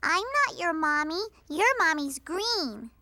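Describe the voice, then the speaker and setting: Very high squeaky little cartoon cow girl, slow and sing-song, music behind. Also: sing-song, exaggerated voice. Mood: soothing. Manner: gentle, sing-song, soothing. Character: sweet cheerful young girl cow Lucinda.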